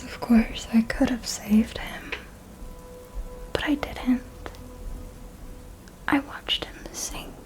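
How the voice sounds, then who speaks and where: A woman playing a seductive, remorseless immortal vampire mentor in an ASMR roleplay, speaking in a breathy whisper with deliberate pauses. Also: fairly high pitch, poor audio. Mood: cold, remorseless. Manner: slow, hushed, deliberate storytelling with pauses.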